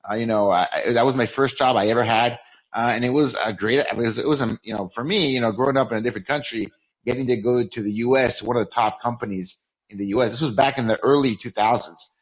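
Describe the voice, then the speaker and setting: A man's voice: medium pitch, quick pace, highs cut off. A man in his forties, from Mexico with a Californian accent, telling his story as a podcast guest, often repeating and restarting words. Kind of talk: casual interview storytelling.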